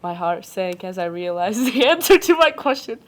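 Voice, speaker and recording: A woman's voice: mid-range voice, sing-song. A young adult woman, not a native English speaker, reading a ghost story aloud on a casual podcast, stumbling and stopping to joke. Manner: reads haltingly, breaks off to joke and laugh.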